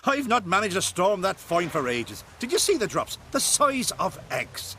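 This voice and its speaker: A man's voice: high voice, sing-song, pushed and bright, slight background noise, full character voice. An actor playing an earnest, philosophical male druid in a fantasy video game, speaking in formal, old-fashioned phrases. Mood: delighted. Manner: formal, measured, archaic phrasing.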